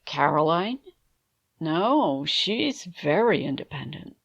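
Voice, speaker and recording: An actress plays a guarded, worn-out woman in a sci-fi radio play. She speaks slowly, with lively rises and falls and some gravel in the voice. The recording is close and dry. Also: low-pitched, no echo, dull audio, heavily acted. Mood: weary, haunted. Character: tense, weary woman hosting guests in a dangerous world. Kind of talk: audio drama acting.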